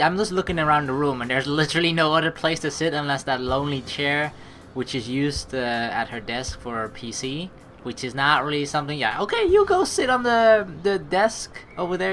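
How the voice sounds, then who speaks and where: A woman's voice: low-pitched, lively intonation, brisk, a bit bright, slight background noise. A young woman reading a visual novel aloud and adding playful, chatty commentary between lines. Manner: playful, giggly, chatty asides. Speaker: let's play streamer.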